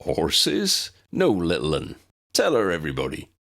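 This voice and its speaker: A man's voice: low-pitched, huge pitch swings, measured pace, fairly bright tone, full character voice. A man voicing a big, jovial, rustic gamekeeper teacher in an audiobook, hearty and folksy. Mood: jovial, instructing. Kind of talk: audiobook character voice.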